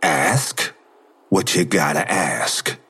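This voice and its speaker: A man's voice: rumbling low, drawn-out words, pushed and bright, slightly rough, exaggerated voice. A man playing an irritable, defiant mural artist in an audio drama, guarded and combative in his curt replies.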